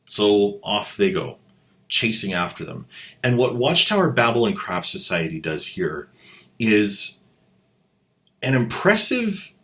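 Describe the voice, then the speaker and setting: A man's voice: lowish voice, sing-song, slow, sharp tone. A man hosting a video, giving mocking, irreverent commentary on an old audio drama. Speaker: channel host and commentator. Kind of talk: sarcastic commentary.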